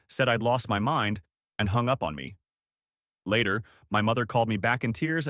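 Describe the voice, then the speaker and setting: A man's voice: lowish voice, fairly bright tone, dry recording, clean studio sound. A man narrating a scripted personal story in the first person.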